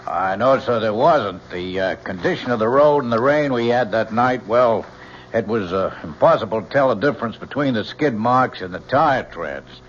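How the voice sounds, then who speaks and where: Deferential male police sergeant played by a radio actor, low voice, halting delivery, muffled vintage recording. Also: animated, lightly acted. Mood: deferential, matter-of-fact. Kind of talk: old-time radio drama acting. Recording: muffled old radio recording, faint noise.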